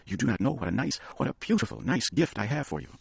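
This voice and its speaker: A man's voice: medium pitch, big pitch swings, quick pace, gravelly, slightly rough, dry recording, voice pushed up, heavily acted. A man voicing a loving, anxious young wife in a story reading, in a raised pleading voice.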